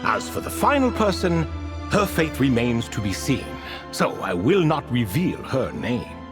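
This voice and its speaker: An actor voicing an ancient, riddling bound spirit in a video game, grandiose and wistful, with theatrical, old-fashioned musing phrasing. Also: male voice, mid-range voice, big pitch swings, measured pace, over music. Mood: sly, grand. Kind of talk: video game cutscene acting.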